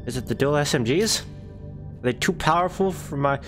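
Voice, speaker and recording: A man's voice: on the high side, expressive, moderate pace, over music. A man giving casual, jokey, rambling commentary on his own gameplay through a headset mic. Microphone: USB wireless headset.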